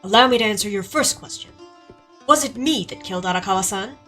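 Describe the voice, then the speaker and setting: A woman playing a calm, menacing man who speaks with mocking politeness. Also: mid-range voice, fast, faint music behind. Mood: sinister.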